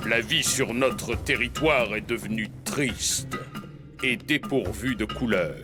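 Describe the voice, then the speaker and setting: A man plays a gentle-mannered cartoon giraffe, a painter and storyteller. He speaks slowly at a middling pitch, politely explaining things with measured care, over clear background music. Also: natural voice. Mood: solemn storytelling. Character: friendly, courteous male giraffe painter, storyteller. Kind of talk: French cartoon dub acting.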